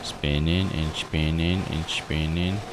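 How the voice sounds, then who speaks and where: A man's voice: very deep voice, steady tone, slow pace, noisy background. A man doing casual let's play commentary as a game streamer, thinking aloud in folksy, slangy phrases. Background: game music.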